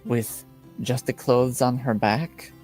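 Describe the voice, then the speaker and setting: A man's voice: mid-range voice, fairly even intonation, slow, a little mellow, faint music behind, close to an everyday voice. A man playing a suspicious noble father, formal, cold and accusing, in a tabletop roleplaying game.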